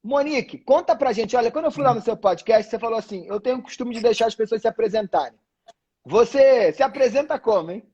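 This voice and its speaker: A man's voice: very high voice, animated, fast, warm tone, husky, muffled recording. A Brazilian man hosting a casual live online chat, effusive and informal, often repeating his phrases. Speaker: host. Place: online live video call.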